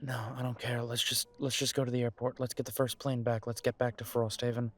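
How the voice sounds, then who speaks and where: A man's voice: mid-range voice, level tone, brisk, fairly bright tone, natural voice. A man playing a wounded, bitter werewolf son in an audio drama, guarded and weary with a sarcastic edge. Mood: hurt, weary. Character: bitter, wounded adult werewolf son confronting his parents. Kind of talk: audio roleplay drama acting.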